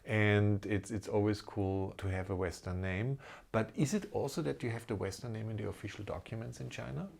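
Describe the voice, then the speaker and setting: A man hosting a podcast conversationally, a little halting and sometimes repeating his words. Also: on the low side, vocal fry, darkish tone. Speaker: podcast host, corporate lawyer.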